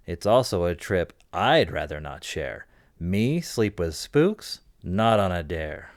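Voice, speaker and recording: Man playing a low, slow storybook papa bear. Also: big pitch swings, exaggerated voice. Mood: reluctant. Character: grumbly, scaremongering cartoon father bear, sly and fretful. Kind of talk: children's storybook read-aloud.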